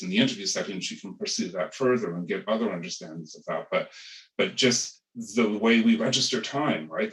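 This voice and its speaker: A man speaking as a panelist in an academic webinar, thinking aloud with many restarts and repeated words. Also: low-pitched. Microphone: video call. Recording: roomy, distant video call audio.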